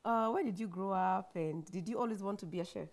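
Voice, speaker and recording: A woman's voice: medium pitch, fairly quick, darkish tone. A woman with a Kenyan accent hosting a show, chatting casually and encouragingly during a cooking demonstration. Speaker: TV show host. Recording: clearly noisy with clicks.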